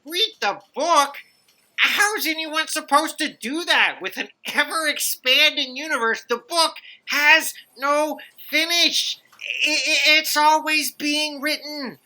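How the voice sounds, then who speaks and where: A woman's voice: high-pitched, takes it slowly, pushed and bright, exaggerated voice, low-quality recording. A woman playing a fussy, sceptical, by-the-book copy aide in a comic audio drama, prim and hesitant. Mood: flustered. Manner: prim, hesitant, stammering when flustered.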